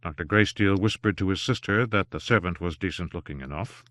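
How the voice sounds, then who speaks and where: A man narrating an unabridged novel aloud in a measured, even storytelling manner, with only light touches of voicing for the characters. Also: sits low, dry recording, studio quality. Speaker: audiobook narrator.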